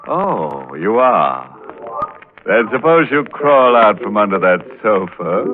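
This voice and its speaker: An actor playing a macabre, sardonic male host, narrating a 1940s radio horror show in a taunting, gleeful manner. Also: low-pitched. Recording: tinny old radio, music behind.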